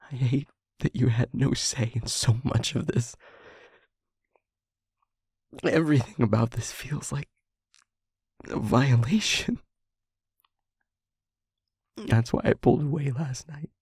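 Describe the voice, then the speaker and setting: A man playing a tormented, remorseful demon in love, in an intimate audio roleplay, speaking haltingly in a breathy, husky, often whispered voice and pleading. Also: medium pitch, dark tone, no room sound, studio quality, heavily acted. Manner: slow, halting, emotionally pleading.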